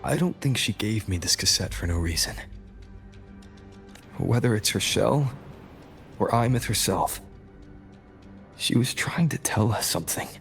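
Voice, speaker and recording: A man playing a calm, resolute game hero, speaking in a measured and sincere way. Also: lowish voice, creaky, faint music behind. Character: calm, resolute male hero, respected mentor. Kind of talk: scripted game dialogue.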